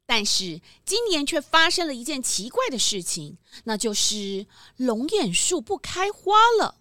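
A woman narrating a children's story in an animated, explanatory, scripted style. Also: high-pitched, a little slow, no room sound, studio quality. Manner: explanatory, expressive.

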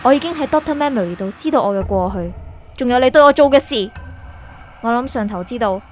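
A woman plays a wronged, resolute young heroine in a radio drama, speaking Hong Kong Cantonese. Her voice is high and firm, with an accusing edge, and music plays softly behind it. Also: expressive, fast talker, natural voice. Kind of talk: Cantonese audio drama acting.

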